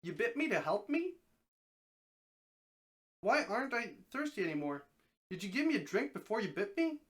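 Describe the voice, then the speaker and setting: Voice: medium pitch, very animated, normal speed, fairly bright tone. A reader voicing a bewildered, exhausted man in a story, firing off incredulous questions. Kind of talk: storybook dramatic reading.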